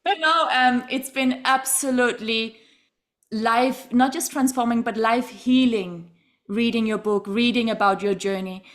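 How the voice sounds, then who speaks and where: Bright-voiced effusive woman podcast host. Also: fairly high pitch, a little slow. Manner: effusive and enthusiastic, laughing often. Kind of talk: podcast interview.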